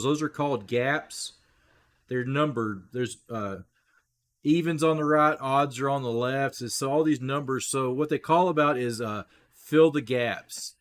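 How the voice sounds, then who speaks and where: A man hosting a casual live play-by-play stream, his voice rough, clearing his throat, talking straight to viewers and rising on big plays. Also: medium pitch. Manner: chatty, talks straight to viewers, rises excitedly on big plays. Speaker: livestream play-by-play host.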